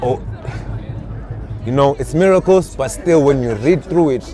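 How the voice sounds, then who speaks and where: A man's voice: medium pitch, lively intonation, measured pace, a faint crowd. A man in casual conversation, earnest and searching for words, often repeating himself as he explains. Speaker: interviewee, spiritual seeker. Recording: music playing loud behind.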